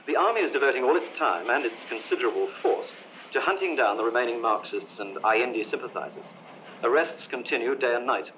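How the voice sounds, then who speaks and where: A man's voice: medium pitch, animated, brisk, bright, forceful tone, slight background noise. Male reporter on an old lo-fi broadcast. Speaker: foreign correspondent. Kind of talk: archival news report. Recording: dull lo-fi archive broadcast.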